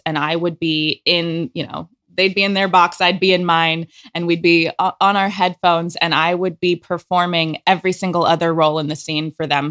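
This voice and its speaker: An enthusiastic, expressive woman chatting casually as a guest in an interview about her creative work. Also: lowish voice. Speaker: audio drama director and actor, guest.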